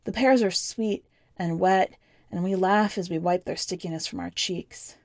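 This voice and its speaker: A woman reading scripted first-person fiction as a podcast narrator, with measured, deliberate phrasing. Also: lowish voice, a little mellow, dry recording, clean studio sound. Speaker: podcast host and story narrator.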